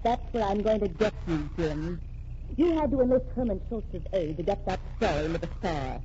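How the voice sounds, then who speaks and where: An actress playing a sharp young woman reporter who calmly accuses a killer, in a 1940s radio drama. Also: mid-range voice, lightly acted. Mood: accusing. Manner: cool, accusing, deliberate. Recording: dull old radio recording with faint hiss.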